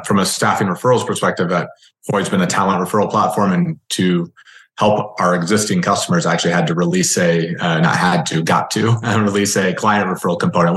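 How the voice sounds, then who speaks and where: Low-voiced male podcast host, roomy sound. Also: fairly bright tone. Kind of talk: casual interview conversation.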